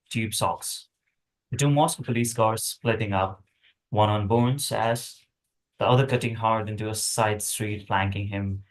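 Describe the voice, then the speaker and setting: A man's voice: on the low side, rough recording. A man reading screenplay action aloud as narration, in terse, fragmented phrases. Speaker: table read participant.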